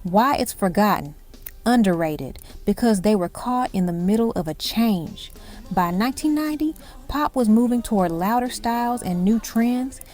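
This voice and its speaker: A woman's voice: medium pitch, a little mellow. A woman reading scripted documentary-style narration for a music countdown, in dramatic, punchy short phrases. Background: music behind the voice.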